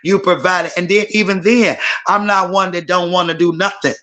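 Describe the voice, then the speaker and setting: A hoarse woman, giving frank, emphatic opinions in a casual group debate. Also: lowish voice, steady tone, a little fast, a bit bright. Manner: frank, emphatic, says "period". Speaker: panelist.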